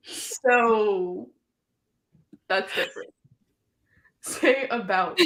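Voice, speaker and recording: A woman's voice: fairly high pitch, big pitch swings, very slow, a bit bright, echoey room, poor audio. A woman hosting a casual video-call chat about books, joking and giggling often. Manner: giggly, jokey, over-caffeinated. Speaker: host.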